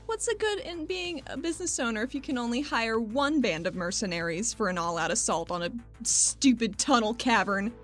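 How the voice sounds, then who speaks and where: A woman plays a worn-down businesswoman investigator in a tabletop game. Her voice is fairly high, casual and sardonic, with faint music underneath. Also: lively intonation, moderate pace, few pauses, lightly acted. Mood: wry, weary.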